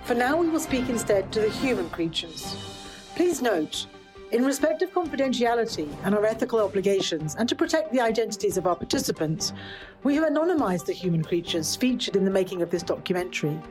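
A woman's voice: medium pitch, very animated, a little fast, a little mellow. Formal woman narrator over music. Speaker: narrator giving disclaimer. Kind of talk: scripted mock-documentary narration.

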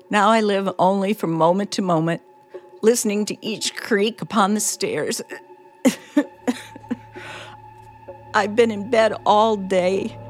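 An actress playing a frantic, terrified middle-aged woman held captive, halting and stammering in the manner of an old radio suspense play. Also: mid-range voice, darkish tone, natural voice. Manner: breathless, stammering, pleading, halting. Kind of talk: old-time radio suspense drama acting.

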